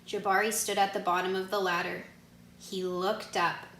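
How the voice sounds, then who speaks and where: A woman reading a children's storybook aloud expressively, pausing to put questions to her young listeners. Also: mid-range voice, flat delivery, fairly bright tone, slight background noise. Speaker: read-aloud narrator, teacher asking listeners questions. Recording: echoey room.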